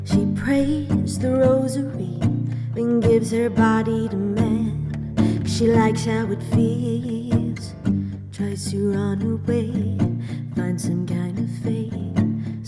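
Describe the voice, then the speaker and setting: A woman's voice: fairly high pitch, little pitch movement, takes it slowly. A woman singer-songwriter singing in a live acoustic performance. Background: acoustic guitar.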